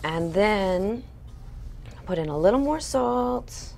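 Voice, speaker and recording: A woman's voice: medium pitch, animated, slow pace, vocal fry, darkish tone, a little hiss or hum. A young adult woman chef explaining a recipe step by step on a studio kitchen set. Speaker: guest chef. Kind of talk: cooking demonstration.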